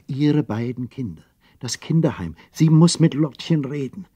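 A man playing the earnest narrator of a children's radio play, telling the story in a measured, literary way. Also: medium pitch, expressive, warm tone, slightly rough, no echo, muffled recording.

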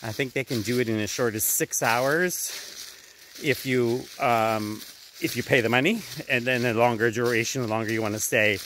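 A man's voice: medium pitch, moderate pace, fairly bright tone, rough recording. A man, giving a casual, conversational travel update as he walks outdoors. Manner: conversational, talking while walking. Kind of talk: casual walk-and-talk vlog update. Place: outdoors, walking through autumn woods. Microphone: phone at arm's length, earbuds worn. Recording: outdoor phone recording with background noise.

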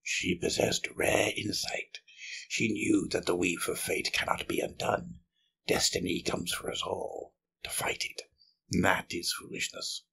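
A winged crone seer from a fantasy audiobook, acted by a man, speaks softly and breathily. The delivery is measured, serene and quietly sinister, as if every fate were already settled. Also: deep voice, steady tone, vocal fry, slightly rough, in a whisper, heavily acted, poor audio. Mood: serene, ominous.

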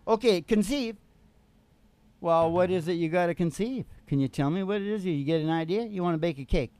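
A man's voice: high voice, brisk, darkish tone, clear, resonant tone, no echo. A middle-aged man hosting a casual motivational chat into a stand microphone, rambling in a folksy way and asking leading questions. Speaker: host and country musician. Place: small curtained stage.

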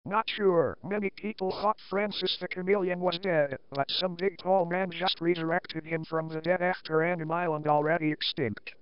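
Guy playing blunt interview guest, high voice, matter of fact, muffled lofi sound. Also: fairly even intonation, normal speed, hardly any pauses, clean tone, no echo, lightly acted. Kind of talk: amateur acted interview. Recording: dull lo-fi audio.

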